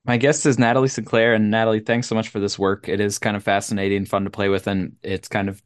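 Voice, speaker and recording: A man hosting an interview, talking in a friendly, relaxed way with frequent chuckles. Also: on the low side, fast talker, smooth. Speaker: podcast host. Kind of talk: interview conversation.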